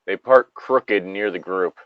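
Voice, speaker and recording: A man's voice: deep voice, slow, dry recording, highs cut off. A man co-hosting a story reading, switching between narration and casual, joking asides. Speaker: co-host reading stories aloud.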